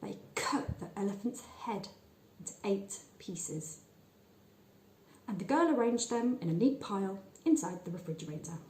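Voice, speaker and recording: A woman reading a short story aloud in a measured, expressive storytelling manner with deliberate pauses. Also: mid-range voice, echoey room, slight background noise. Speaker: story reader. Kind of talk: scripted narration of a short story.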